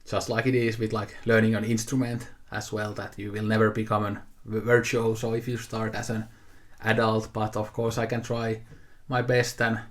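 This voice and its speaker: A man's voice: on the low side, flat delivery, normal speed. A man of twenty-eight, talking casually to camera about things he got, in an easygoing, rambling way. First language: Finnish. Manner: easygoing, rambling, with frequent fillers and restarts. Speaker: video host showing a haul. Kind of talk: casual unscripted talk to camera.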